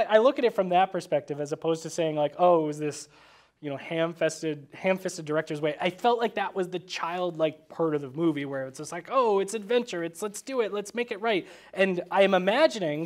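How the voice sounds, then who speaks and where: A man chatting casually about film as a guest, restarting his thoughts and acting out imagined quotes as he rambles. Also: high-pitched. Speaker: podcast guest.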